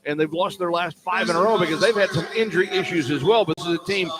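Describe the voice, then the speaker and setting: A man's voice: high-pitched, quick pace. A man working as a play-by-play sportscaster, giving live pre-game commentary on sports radio. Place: courtside in a sports arena. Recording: crowd noise of an arena. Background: arena crowd.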